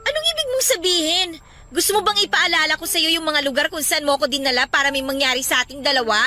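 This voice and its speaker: A woman's voice: high-pitched, fast, bright, forceful tone. A woman playing an anxious, irritable pregnant young girlfriend in a Filipino radio drama, with an emotional, scolding delivery. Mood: angry. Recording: music bed behind the voice.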